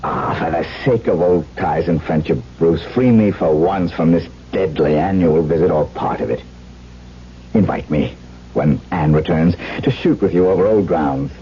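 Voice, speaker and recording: A haunted, aristocratic husband acted by a man broods in a 1970s radio play. His voice is on the low side, formal and melancholy. The broadcast sound is dull and aged. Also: moderate pace, a little hiss or hum, natural voice. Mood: pleading. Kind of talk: 1970s radio drama acting. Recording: dull vintage radio broadcast, music behind.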